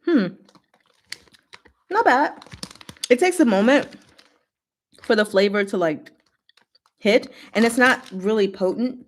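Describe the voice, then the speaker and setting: A woman's voice: on the high side, lively intonation, slow, pauses often. A woman hosting a casual livestream, chatting with viewers in short, giggly asides. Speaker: livestream host.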